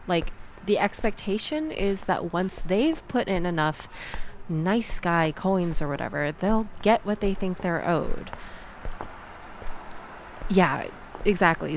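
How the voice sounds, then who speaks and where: Woman acting a slow-talking, chatty young woman. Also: mid-range voice, slight background noise. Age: young adult. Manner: chatty, sardonic, conversational with nervous laughs. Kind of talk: intimate audio roleplay acting, addressed to listener.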